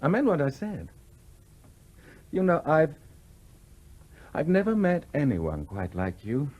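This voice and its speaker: A charming English gentleman of leisure, teasing and a little hesitant. His husky, fairly high voice swoops widely up and down in the style of a 1970s radio drama. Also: big pitch swings, slow, dull audio, full character voice. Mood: earnest, wooing. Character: charming, flirtatious, idle well-off English gentleman, restlessly bored. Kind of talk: 1970s radio play acting.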